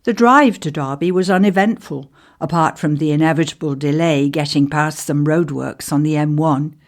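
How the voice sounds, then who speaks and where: A woman reading a ghost story aloud as scripted narration, in a measured, deliberate way with pauses. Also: low voice.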